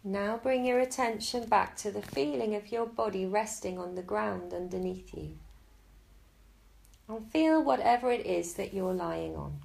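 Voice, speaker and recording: A woman guiding a Yoga Nidra relaxation in a slow, soft and soothing way. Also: medium pitch, vocal fry. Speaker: meditation guide.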